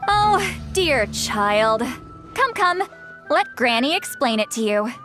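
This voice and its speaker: An actress playing a wise, doting woman who styles herself a granny in a video game, speaking in a measured, knowing way. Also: high-pitched, sing-song, heavily acted. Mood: knowing, indulgent. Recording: music under the voice. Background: background music.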